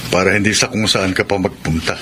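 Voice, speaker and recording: An actor playing a concerned older brother in a Filipino radio drama, talking in a protective, advising manner. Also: male voice, low voice, fairly even intonation, fast talker, close to an everyday voice. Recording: clear background noise.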